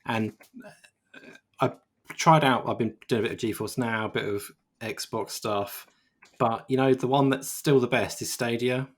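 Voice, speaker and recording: A man chatting on a video call, halting and restarting his sentences. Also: mid-range voice. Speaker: games journalist, panelist. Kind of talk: casual video call chat.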